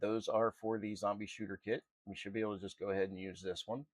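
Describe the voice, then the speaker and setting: A man with a lowish voice presents an informal software tutorial. He goes step by step in a halting, unscripted way. The sound is dry, with very little room in it. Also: flat delivery, no echo. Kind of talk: unscripted screen-recorded walkthrough.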